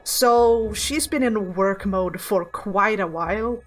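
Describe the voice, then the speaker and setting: A woman telling her character's side of a tabletop roleplaying game in a casual, musing way, pausing to think. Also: mid-range voice, a little hiss or hum. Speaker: tabletop game player, guest.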